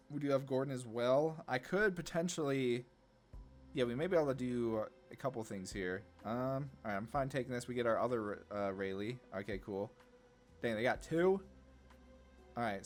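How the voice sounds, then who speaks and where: A man giving casual gameplay commentary, thinking aloud in a laid-back, slangy way. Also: medium pitch. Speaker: card game video host. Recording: music under the voice. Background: music.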